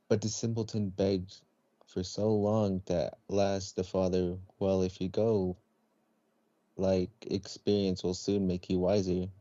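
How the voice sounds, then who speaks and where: A man reading a children's story aloud in a somewhat halting way. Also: low-pitched, mellow, no room sound. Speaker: storybook reader. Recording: dull, lo-fi close recording.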